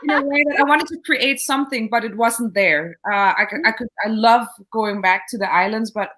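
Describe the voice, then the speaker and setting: A woman in her thirties from Denmark, a guest telling her story in a casual interview, pausing often with fillers and restarts. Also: fairly high pitch, bright, forceful tone. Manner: thoughtful, hesitant with many fillers and restarts. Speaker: guest entrepreneur.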